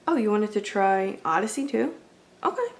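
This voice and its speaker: A woman's voice: mid-range voice, expressive, normal speed, creaky, a little hiss or hum. A woman doing an ASMR roleplay as a friendly, accommodating video game store clerk, chatting in a casual, polite and apologetic way. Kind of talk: ASMR roleplay, casual customer-service chat.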